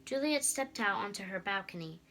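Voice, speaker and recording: A young girl giving an expressive scripted reading of a story as narrator. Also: medium pitch, a little fast, a little hiss or hum. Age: child.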